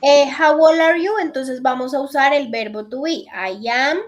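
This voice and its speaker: High-voiced woman teaching English. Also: unhurried. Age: 30s. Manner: explains step by step, checks in with repeated "listo". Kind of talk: online class instruction. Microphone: computer mic on a video call.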